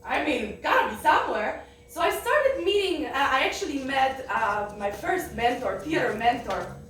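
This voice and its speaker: Polish young woman, higher voice, candid personal story, laughs a lot, echoey room heard from far away. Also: sing-song, moderate pace, fairly bright tone. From Poland. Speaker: guest speaker. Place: event room.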